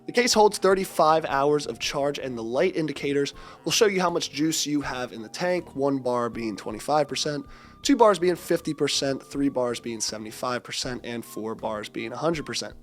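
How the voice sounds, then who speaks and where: A young man with a congested, stuffy voice, briskly presenting an upbeat scripted audio product review. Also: medium pitch, lively intonation, soft background music.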